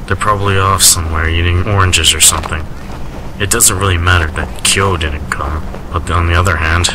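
Man acting as a deep, reserved, melancholy doctor. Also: background noise, natural voice. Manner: measured, restrained, formal. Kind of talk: radio drama acting. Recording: music under the voice.

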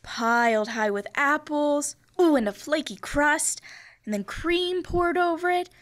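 A woman's voice: sits high, unhurried. A young woman playing an affectionate, eager housewife in old-time radio comedy. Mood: chatty. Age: teens or young adult. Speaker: high school student actor. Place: school library room. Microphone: stand microphone.

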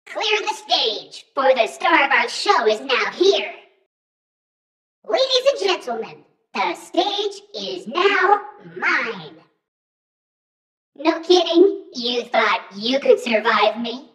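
A woman's voice: high-pitched, big pitch swings, slow pace, a bit bright, echoey room, full character voice. A woman playing a vain, showy animatronic stage star with a grand announcer's flourish. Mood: boastful.

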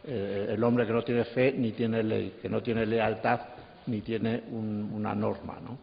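A man speaking on a panel, giving erudite, reflective commentary in halting phrases. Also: fairly low pitch, flat delivery, gravelly, slight background noise. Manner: halting, pausing between phrases. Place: stage of a large auditorium. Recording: muffled, echoing auditorium sound.

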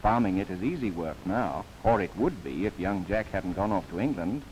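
A man's voice: sits low, animated, moderate pace, a little mellow, rough recording. A man narrating a 1930s government documentary film, with playful asides. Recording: old 1939 film soundtrack with faint hiss.

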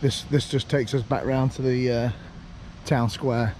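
A young man strolls outdoors and chats casually as he points out the sights, his voice mellow and warm. He is recorded on a handheld camera, with faint outdoor ambience and passing traffic behind him. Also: medium pitch, a little slow. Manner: relaxed, chatty, pointing things out. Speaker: vlogger. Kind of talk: casual walking tour commentary. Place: outdoors walking around a lakeside town.

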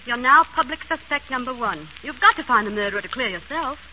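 An actress playing a sharp, teasing, devoted wife of an amateur sleuth in a 1930s radio drama, with brisk wry banter. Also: female voice, on the high side, animated, close to an everyday voice. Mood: wry, pointed. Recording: scratchy, dull old radio broadcast.

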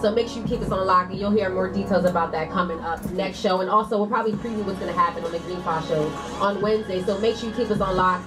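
A woman's voice: medium pitch, quick pace, hardly any pauses, distant mic. A young American woman hosting a radio show with casual chat and announcements in a small studio. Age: young adult. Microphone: microphone on table stand, picked up from a distance. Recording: off-mic, loud music behind.

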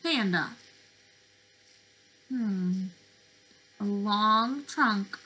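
A young adult woman reading interactively to small children as a teacher, expressive and sing-song, with questions and pauses. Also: mid-range voice, husky, rough recording. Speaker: speech-language pathologist presenting to preschoolers. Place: bedroom, indoors.

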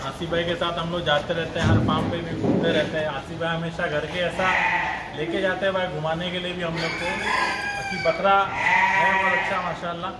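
A man with a high voice speaks in short, friendly remarks, off from the mic in a goat shed at night. His voice echoes in the shed. Loud background noise all but buries him. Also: level tone, normal speed, distant mic. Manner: brief, friendly replies. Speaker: customer. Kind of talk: casual chat.

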